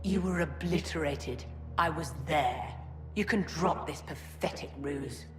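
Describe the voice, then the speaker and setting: An actress playing a haughty, elegant sorceress in a video game, imperious and coldly sardonic. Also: female voice, sits low. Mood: suspicious, scornful. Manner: imperious, condescending, measured. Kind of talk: dramatic video game cutscene acting. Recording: echoey, clearly noisy background.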